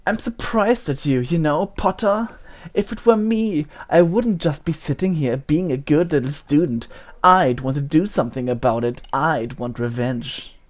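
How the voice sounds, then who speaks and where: A woman's voice: deep voice, moderate pace, close to an everyday voice. A woman playing a spoiled, sneering schoolboy bully with a haughty, taunting, theatrical delivery. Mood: sly, taunting.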